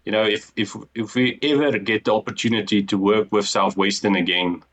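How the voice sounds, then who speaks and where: South african guy, afrikaans accent, lowish voice, earnest, lots of ums. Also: steady tone, a little fast, fairly bright tone. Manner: earnest storytelling, frequent ums and restarts. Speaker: guest, business co-founder. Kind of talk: casual interview talk.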